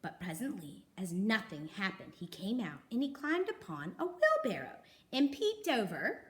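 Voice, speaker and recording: A woman reading a children's storybook aloud, expressively, with dramatic emphasis and pauses. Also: mid-range voice, huge pitch swings. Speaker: storybook reader.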